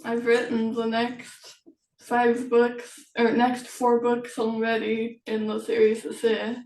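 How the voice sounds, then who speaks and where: A woman author chatting casually in an interview, speaking in halting fragments that trail off. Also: on the high side, monotone, a little mellow. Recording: roomy echo, distant from mic.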